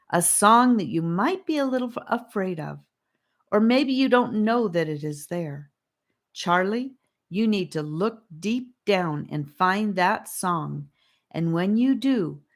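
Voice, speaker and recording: Woman playing a gentle wise girl, reassuring tone. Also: fairly low pitch, pitched above the performer's own voice. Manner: warm, reassuring, earnest. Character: gentle wise young girl with a special glow.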